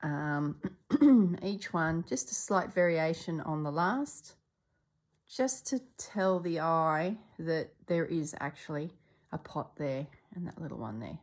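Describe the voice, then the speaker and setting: A middle-aged woman from Australia chatting casually as she stitches, a little congested and clearing her throat, sometimes dropping to soft murmurs. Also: sits low, takes it slowly. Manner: chatty, relaxed, drops into soft murmurs while working. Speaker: stitching vlogger. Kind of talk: casual chat while stitching.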